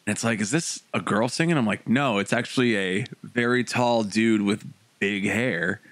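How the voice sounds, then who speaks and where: A low-voiced man hosts a podcast and tells a story in an easygoing way. His voice sounds dry and close, with no room around it. He swears lightly now and then. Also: moderate pace, a bit bright, dry recording. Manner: loose storytelling, mild swearing. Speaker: podcast host. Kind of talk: casual podcast chat.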